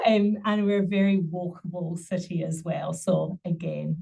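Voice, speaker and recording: A woman's voice: mid-range voice, dark tone. Woman, middle-aged, webinar presenter, upbeat and promotional, a few ums, slightly distant mic. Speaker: university recruitment presenter.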